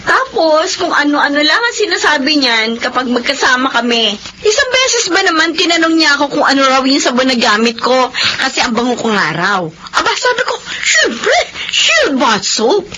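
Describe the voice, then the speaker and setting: Bubbly, high, bright-voiced teasing wife. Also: female voice, sing-song, normal speed, slight background noise, heavily acted. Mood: playful, teasing. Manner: giggly, gossipy, exclaiming. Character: bubbly young wife, playfully mocking her husband. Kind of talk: Filipino radio drama acting.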